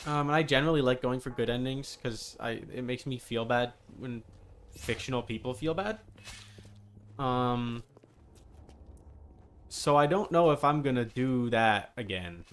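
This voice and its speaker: A man's voice: mid-range voice, a little slow, long pauses, fairly bright tone, a little hiss or hum. A man giving casual live commentary as he streams a video game, rambling and easily sidetracked. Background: game music.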